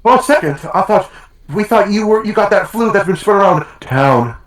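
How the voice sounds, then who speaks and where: Guy doing an excitable young cartoon fox kid, sputtery, really animated, swoopy pitch. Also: normal speed, rough recording. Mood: excited, relieved.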